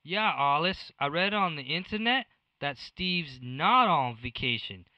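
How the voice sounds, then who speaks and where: An earnest conspiracy believer phoning a radio show, played by a man, high-voiced and swooping as he insists. The sound is slightly dull and lo-fi. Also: lively intonation, a little slow, no room sound. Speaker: caller. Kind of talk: radio play phone-in. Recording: dull, lo-fi caller line.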